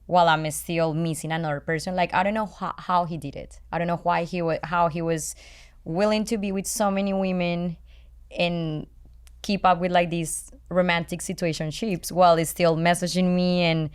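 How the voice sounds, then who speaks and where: Low, clear-voiced Colombian woman chatting candidly. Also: steady tone, moderate pace. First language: Spanish. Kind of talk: candid casual chat.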